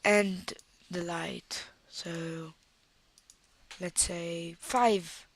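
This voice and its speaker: A young Italian woman with an Italian accent and a cold, talking through a screen-recorded software tutorial and thinking aloud as she clicks, at a desktop mic. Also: lowish voice, fairly even intonation, drawn-out words. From Italy. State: has a cold.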